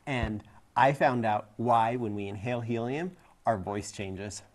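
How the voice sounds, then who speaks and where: A man's voice: medium pitch, a little slow. A man in his forties co-hosting a science show, explaining things in casual banter. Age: 40s. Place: studio set. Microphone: clip-on lapel mic.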